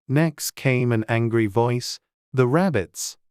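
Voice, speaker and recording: Slow-reading male storybook narrator. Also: medium pitch, darkish tone, clean tone, no room sound, clean studio sound. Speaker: audiobook narrator.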